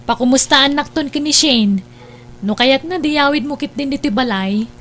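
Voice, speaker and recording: A woman's voice: high-pitched, moderate pace, a bit bright, slight background noise. A woman with an Ilocano accent playing a protective, teasing older sister in a family audio drama. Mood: concerned. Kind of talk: Ilocano radio-style drama acting.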